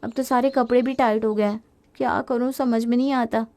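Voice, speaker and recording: A woman's voice: fairly high pitch, steady tone, fairly quick, a little mellow, lightly acted. A woman voicing a headstrong young Indian housewife in a family story, exclamatory, complaining and defensive. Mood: fed up. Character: headstrong gadget-obsessed young Indian housewife, easily fed up. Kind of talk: dramatized Hindi family story.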